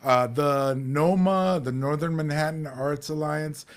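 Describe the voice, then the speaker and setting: A man's voice: on the high side, fairly even intonation, unhurried. A middle-aged Mexican American man co-hosting a community talk show, speaking earnestly with many restarts and fillers. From United States. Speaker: podcast co-host.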